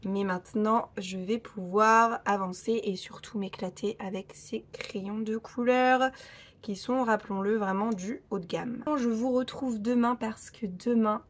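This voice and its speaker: Hoarse young woman vlogging casually. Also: medium pitch, steady tone, a little slow. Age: 30s. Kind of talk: casual vlog chat.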